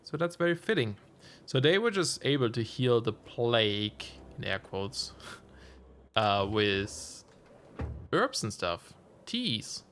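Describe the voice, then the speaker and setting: Slightly hoarse male game streamer. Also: mid-range voice, big pitch swings, slow pace, a bit bright, slight background noise. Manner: chatty, muses aloud with self-amused asides. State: slightly hoarse, losing his voice. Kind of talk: casual let's-play commentary.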